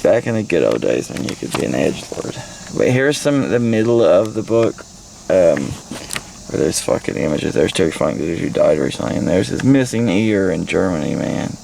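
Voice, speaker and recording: Creaky, animated American man, rambling and crude. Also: low-pitched, moderate pace, rough recording. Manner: crude, heavy swearing, irreverent, scattered. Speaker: vlogger giving a book report. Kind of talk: rambling casual book review with bits read aloud. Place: inside a parked car. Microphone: handheld phone or camera at arm's length. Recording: handheld recording with faint background noise.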